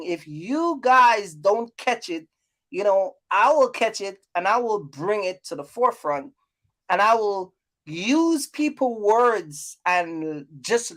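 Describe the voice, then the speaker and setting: A man talking informally as a livestream host, using casual slang and often repeating his phrases. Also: sits high. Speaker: live stream host.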